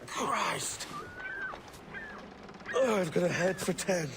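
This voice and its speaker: A man voicing a hot-blooded but gruffly tender pirate captain in a video game cutscene. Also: high voice, expressive, takes it slowly, noisy background, exaggerated voice. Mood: weary, glad. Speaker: video game lead character. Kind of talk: dramatic game cutscene acting. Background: music.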